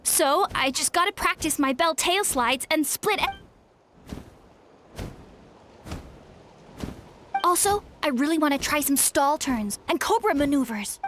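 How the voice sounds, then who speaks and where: A woman playing an eager, insecure young female warrior in a video game, speaking in an earnest, excitable way. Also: high voice, a little slow, a little hiss or hum.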